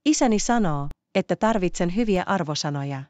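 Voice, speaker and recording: Earnest schoolgirl classmate played by a woman, very animated intonation, dry close sound, deliberate story dialogue. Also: lowish voice, dry recording, lightly acted. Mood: friendly, curious. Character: schoolgirls Lisa and Sarah, earnest classmates making up. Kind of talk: scripted language-learning story dialogue.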